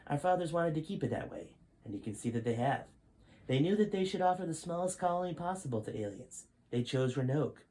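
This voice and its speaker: A man's voice: on the high side, animated, brisk, warm tone, voice pushed up, close to an everyday voice, poor audio. A man reading the part of a stern, grave male five-star general who lectures in a serious tone. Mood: lecturing.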